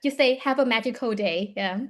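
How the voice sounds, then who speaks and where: A woman's voice: a little high, fairly quick. A woman from Thailand, a guest in an online class, explaining in a friendly storytelling way over a video call. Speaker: guest speaker.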